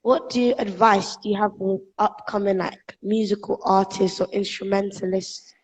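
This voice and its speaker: A woman's voice: medium pitch, little pitch movement, moderate pace. A young adult woman hosting a casual live interview, chatting informally and a little hesitantly. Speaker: show host, interviewer. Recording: echoey, long room reverb.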